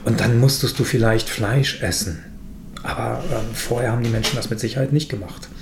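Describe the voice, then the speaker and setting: A man's voice: mid-range voice, steady tone, fairly quick, vocal fry. A man who makes food, speaking as a podcast guest, talking casually and hesitantly with many fillers. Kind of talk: casual conversation. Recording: roomy with faint noise.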